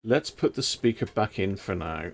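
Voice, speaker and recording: A man's voice: on the low side, animated, moderate pace, breathy edge. A man hosting a live stream, muttering to himself as he repairs an old radio. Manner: muttering to himself while tinkering. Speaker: radio hobbyist host.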